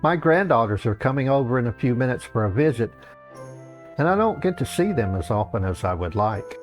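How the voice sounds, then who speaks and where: A man voicing an elderly moon goddess in a comic fantasy story, a grand yet brisk and businesslike grandmother. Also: medium pitch, sing-song, soft background music, lightly acted.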